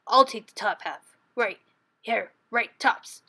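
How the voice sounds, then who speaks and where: A woman's voice: on the high side, sharp tone, slightly rough, pitched below the performer's own voice, exaggerated voice. Woman doing a grumbling, lazy storybook bear. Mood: drowsy. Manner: slow-witted, grumbling. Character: lazy, grumpy male bear, easily tricked.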